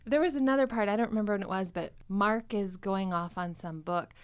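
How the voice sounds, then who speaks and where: A woman hosting a podcast in casual chat, retelling bits in an animated, giggly way. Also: medium pitch, brisk, clear, resonant tone. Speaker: podcast host. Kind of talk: casual chat about a comedy drama podcast.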